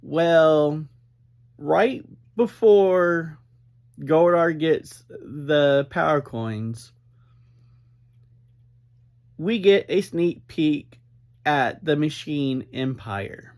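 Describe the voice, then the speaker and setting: A man giving an informal, unscripted recap as a reviewer, speaking in halting, deliberate phrases with frequent breaks. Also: a little high, lively intonation, darkish tone. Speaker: reviewer and host of an episode review series.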